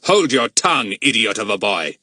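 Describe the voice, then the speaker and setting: Storybook villain, performed by a male reader: menacing, bullying man, slow threatening delivery, close dry sound. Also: fairly low pitch, sing-song, a bit bright, dry recording, full character voice. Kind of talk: audiobook character voice.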